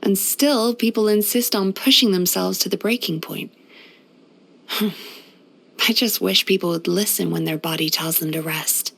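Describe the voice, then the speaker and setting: A gentle female spirit from a fantasy video game, centuries old and feeding on dreams. Her mid-range voice is soothing and polite. She speaks like a caring therapist putting a patient at ease. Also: medium pitch, measured pace, vocal fry, husky, full character voice. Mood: chatty, thoughtful. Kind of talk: video game character voice lines.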